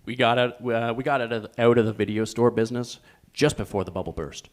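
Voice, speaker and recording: A man acting deadpan supporting characters in a live comedy table read. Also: mid-range voice, fairly quick, close to an everyday voice.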